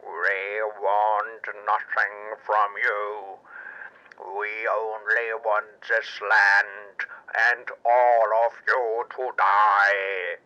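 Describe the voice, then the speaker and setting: A man voicing a menacing, inhuman crab-like alien soldier in a pulp sci-fi audio drama, with slow, drawn-out words. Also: on the low side, little pitch movement, sharp tone, highs cut off, pitched below the performer's own voice, exaggerated voice. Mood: cold threat.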